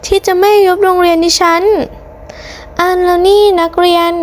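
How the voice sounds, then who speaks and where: A woman's voice: extremely high pitch, little pitch movement, moderate pace, a little hiss or hum. A woman voicing an anxious, bossy female schoolteacher in a cartoon story, emphatic and insistent. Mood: grateful. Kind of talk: hobby cartoon story dubbing.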